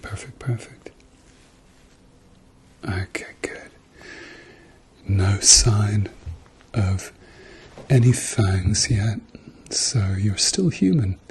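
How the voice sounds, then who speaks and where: Slow, low-pitched man in soft ASMR roleplay. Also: a little hiss or hum. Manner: gentle reassuring murmur, often dropping into whispers. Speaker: ASMR performer playing a doctor. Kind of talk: ASMR medical roleplay, softly spoken to the listener.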